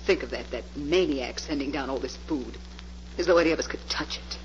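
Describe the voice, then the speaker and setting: A woman's voice: extremely low pitch, a little fast. An actress playing a resolute but horrified captive woman in a dramatic 1940s radio style. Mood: grave. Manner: earnest, dramatic. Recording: muffled, noisy old radio recording.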